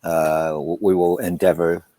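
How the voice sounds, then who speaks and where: A middle-aged man with a low voice speaks as a podcast guest in a casual interview. He tells his story haltingly, doubling back on words and slipping into asides. The sound is close and dry, with hardly any room in it. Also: little pitch movement, warm tone, no echo. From Hong Kong, Taiwan and Southern California. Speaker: podcast guest, film producer. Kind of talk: casual remote interview, telling life story.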